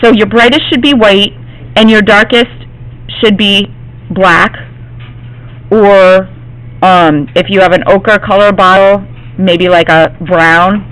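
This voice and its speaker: Woman, art teacher, slightly hoarse, reassuring, classroom demonstration. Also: mid-range voice, steady tone, slow pace, pauses often, fairly bright tone, rough recording. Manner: reassuring, checks in with "okay?". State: slightly hoarse, losing her voice.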